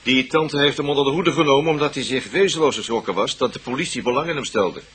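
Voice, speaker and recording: Radio play, male police colleague, mid-pitched, blunt, wry, colloquial. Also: quick pace, a bit bright, breathy edge.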